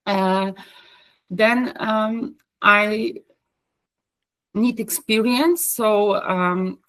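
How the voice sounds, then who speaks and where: A woman with a Polish accent, speaking English as a third language in a coaching group call, pausing often with fillers and restarts. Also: mid-range voice, a bit bright, no room sound, rough recording. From Poland. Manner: hesitant, many fillers and restarts. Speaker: coaching course participant. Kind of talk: group video call discussion.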